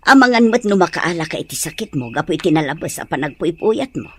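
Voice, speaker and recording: A woman's voice: low voice, very animated, fast talker, breathy edge, exaggerated voice. A woman playing a doting, worried mother of a grown son in an audio drama, fussing in Ilocano-accented speech. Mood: worried, tender.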